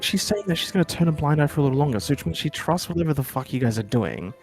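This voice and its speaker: A man's voice: a little high, lively intonation, very fast, gravelly, music in the background. A young man in casual gaming chat with a friend, talking bluntly in profane slang.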